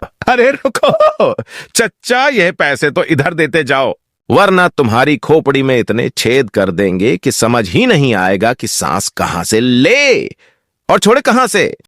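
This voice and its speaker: Menacing male bandit, cartoon villain, drawling slowly, mocking, wildly swinging pitch. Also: very animated, fairly bright tone, no room sound, clean studio sound. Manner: drawling, taunting threats. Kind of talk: cartoon story acting.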